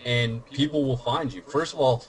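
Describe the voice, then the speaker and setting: Man, mid-pitched, earnest, advice-giving, podcast chat. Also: normal speed, poor audio. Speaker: YouTube commentator.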